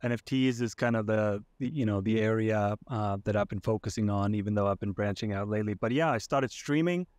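A man's voice: lowish voice, brisk, few pauses, darkish tone, dry recording, studio quality. A young man from Iceland, a podcast guest talking over a video call with a headset in his home streaming room, hesitant with many fillers and restarts. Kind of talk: casual interview chat.

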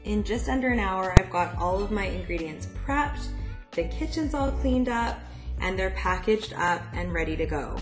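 A woman in her thirties giving casual instructional narration as the host of a meal prep tutorial. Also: medium pitch. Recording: echoey room with music underneath. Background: background music.

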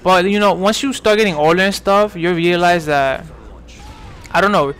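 A man's voice: sits high, moderate pace, fairly bright tone, smooth. A man in his twenties from New York giving casual, rambling commentary over gameplay, full of fillers and restarts. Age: 20s. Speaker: gaming commentator.

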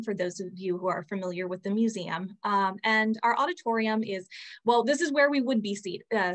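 Young woman, museum host on a video call, mid-pitched, friendly and a little halting. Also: fairly bright tone. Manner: friendly, filler-heavy, scripted intro. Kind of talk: introducing a speaker. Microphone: laptop webcam mic on a video call.

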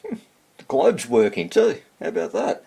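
An older man talks casually through a hobby repair at his bench. His voice is husky and unpolished, and his pitch swings widely. He speaks haltingly, half to himself. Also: very animated, mellow. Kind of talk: casual hands-on commentary while working. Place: indoor home workbench.

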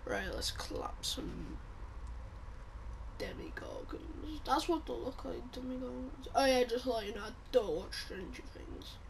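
A woman with a croaky voice giving chatty let's-play commentary, blurting out reactions and muttering asides. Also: fairly high pitch, sing-song, a little slow. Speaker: gameplay commentator. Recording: loud background noise under the voice.

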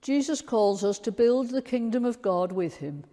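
An older woman with an English accent, a priest reciting liturgical prayers in a solemn, measured way. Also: on the high side, animated, mellow. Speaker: priest leading a communion service. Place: large stone church, indoors. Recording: long church reverb.

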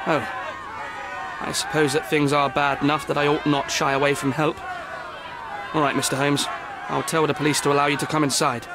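Guy doing harried game bureaucrat, slightly high voice, formal and defensive, noisy crowd behind. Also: little pitch movement, fairly quick, pauses often, lightly acted. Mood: resigned, explanatory. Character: officious, harried city hall official, defensive. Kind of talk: video game cutscene acting.

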